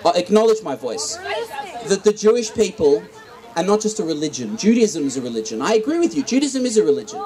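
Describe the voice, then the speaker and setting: A man's voice: sits high, very animated, fast. A man with an Australian accent in a heated but conciliatory street debate, insistent and often restarting his sentences. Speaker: debater at a protest. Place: outdoors among a crowd. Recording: noisy crowd outdoors.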